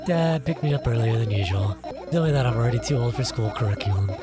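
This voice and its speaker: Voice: medium pitch, fast talker, natural voice. Moody, defensive, odd young girl for a horror visual novel, voiced by the narrator, music underneath. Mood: wistful recollection.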